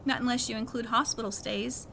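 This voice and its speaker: Higher-pitched woman, read-aloud storytelling, children's novel, clearly noisy background. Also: fairly even intonation, a little fast, a bit bright. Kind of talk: read-aloud storytelling in a first-person child narrator's words.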